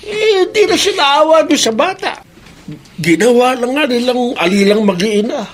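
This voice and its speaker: A Filipino man playing an indignant but compassionate elderly father with a husky voice in a radio drama. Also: extremely high pitch, very animated, normal speed, slight background noise.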